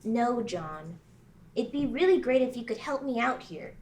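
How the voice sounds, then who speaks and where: A woman voicing Maya, a young female witch student who whines and rushes her lines when upset, at a table read. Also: animated, a little mellow, distant mic. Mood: pleading. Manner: high, whiny and fast when upset.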